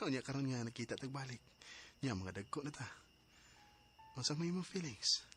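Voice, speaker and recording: A man's voice: medium pitch, animated, moderate pace, bright, forceful tone, slight background noise. An actor playing an eager young male suitor with a Cebuano Filipino accent, coaxing and earnest in a radio drama. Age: young adult. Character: young male suitor, formerly naughty, eager and sweet-talking.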